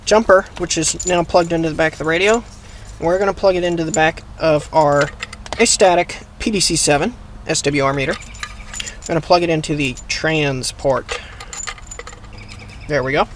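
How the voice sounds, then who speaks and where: Higher-pitched man giving a tutorial, noisy background. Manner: plain, methodical instructional delivery. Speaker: presenter of a how-to video. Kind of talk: hands-on tutorial, explaining step by step. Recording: clear background noise, vehicle ambience.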